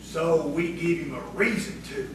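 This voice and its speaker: High-voiced rustic prankster sidekick played by a man. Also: normal speed, close to an everyday voice. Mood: conspiring. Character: rustic prankster sidekick, egging on his boss. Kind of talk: audio theatre acting. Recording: echoey, distant, noisy stage recording.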